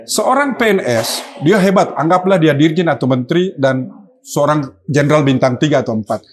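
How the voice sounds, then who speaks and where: A man with a Sulawesi accent, a politician guest on a talk show, explaining his points in an animated way. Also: a little high, normal speed, breathy edge. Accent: Sulawesi Indonesian. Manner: animated, explanatory, lively asides.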